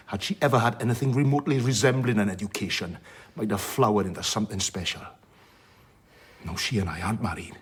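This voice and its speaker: An actor playing a sardonic, blunt, worldly doctor in a radio drama, wry and plain-spoken with a husky voice. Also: male voice, deep voice. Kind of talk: radio drama acting with narration.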